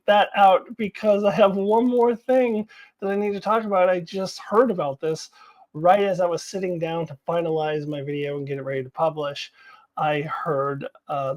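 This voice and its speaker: A woman hosting a casual fan commentary video. Her voice has a gravelly fry to it. She talks bluntly and informally, haltingly working through her opinions for the viewer. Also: lowish voice, darkish tone.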